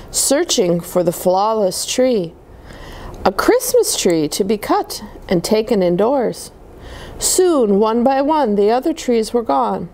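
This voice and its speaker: A woman voicing a small, gentle young tree in a children's storybook, telling its life in wistful, halting phrases. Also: medium pitch, a little hiss or hum.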